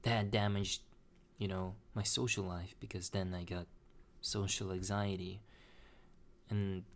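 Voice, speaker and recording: A young man talking slowly and hesitantly in a personal confessional monologue, with many restarts. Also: lowish voice. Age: young adult. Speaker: vlogger.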